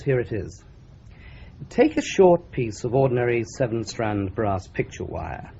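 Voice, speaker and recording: An actor playing a cheerful police doctor who explains a murder weapon in a lively, teasing manner, in an old radio drama. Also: male voice, medium pitch, a little hiss or hum, lightly acted. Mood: jovial. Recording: slightly dull old radio recording.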